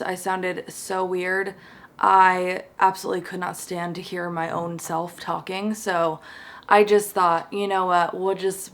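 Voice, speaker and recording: A woman's voice: mid-range voice, fairly bright tone. A young woman chatting casually to camera about books, bubbly and rambling with lots of fillers. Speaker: book vlogger.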